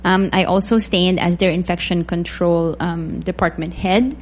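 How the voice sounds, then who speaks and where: A woman, a doctor answering questions in a radio interview in a measured, thoughtful way with frequent pauses. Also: on the low side, fairly even intonation, slight background noise. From Philippines. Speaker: guest physician.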